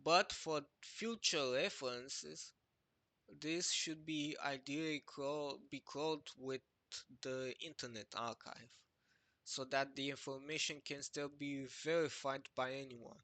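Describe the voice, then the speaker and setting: Young adult man, native Romanian speaker, slow and hesitant screen-share presenter with a bright tone. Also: mid-range voice, fairly even intonation, no echo. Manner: halting, many fillers and restarts. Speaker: project creator presenting a website. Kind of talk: screen-recorded walkthrough narration.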